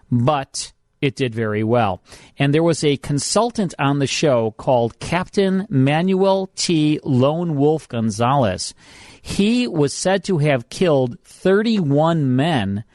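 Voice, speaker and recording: Male radio host, American, somewhat high register, conversational presenter explaining background, very dry close mic. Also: dry recording. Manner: explanatory, trivia-sharing. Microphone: close studio microphone.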